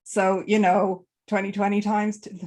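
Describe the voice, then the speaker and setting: Irish woman, mid-range pitch, conversational book club interviewer. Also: level tone, measured pace, a little mellow. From Ireland. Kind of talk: casual interview chat.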